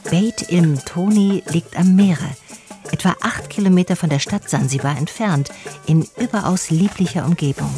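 An actress as a self-assured female investigator in a radio drama. Her voice sits on the low side, probing and matter-of-fact, with soft music underneath. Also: normal speed, lightly acted. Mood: absorbed reading. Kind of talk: radio drama dialogue and first-person narration.

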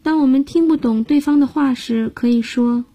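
A woman's voice: sits high, moderate pace, warm tone. A woman hosting a radio language lesson, patiently explaining grammar and guiding listeners step by step. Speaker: language teacher and host.

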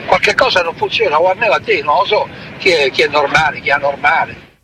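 Roman guy, middle aged, kinda high voice, stumbles over words, lots of traffic-like noise behind. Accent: Roman Italian. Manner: halting, repeats and restarts words. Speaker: father being questioned. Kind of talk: informal recorded interview. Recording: loud traffic-like ambient noise.

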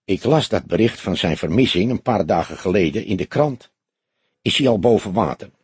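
A small, knowing café owner, put on by a male narrator, talks with husky curiosity. His pitch swings readily into surprise, in the manner of a crime audiobook. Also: lively intonation, normal speed, a little mellow, no echo. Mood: curious. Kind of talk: audiobook character dialogue.

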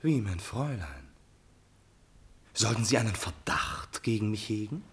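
An actor playing an amorous, courtly prince in a classical radio drama, florid, pleading and smoothly persuasive. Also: male voice, on the low side, expressive, unhurried, heavily acted. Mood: soothing, urgent. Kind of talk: classical German stage-play radio acting.

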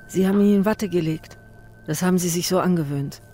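A woman acting a grieving young mother in a radio play. Her voice is on the low side, intense and insistent, with a bitter edge in short, clipped phrases. Faint music runs with it. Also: fairly quick, warm tone, natural voice. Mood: numb, aching. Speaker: actress in a radio drama. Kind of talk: German radio drama, interior monologue and dialogue.

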